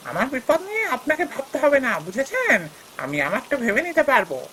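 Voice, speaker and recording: Guy doing greedy touchy son-in-law in a folk tale cartoon, super dramatic, pitch jumps all over, slight noise. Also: wildly swooping pitch, quick pace, pushed and bright, full character voice. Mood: indignant, offended. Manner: dramatic, emphatic. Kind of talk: Bengali fairy-tale cartoon dialogue.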